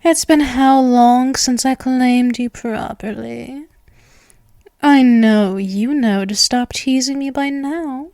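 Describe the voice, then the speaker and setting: Woman acting a slow, doting vampire girl, high-voiced. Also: a little mellow, no echo, studio quality. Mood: teasing, possessive.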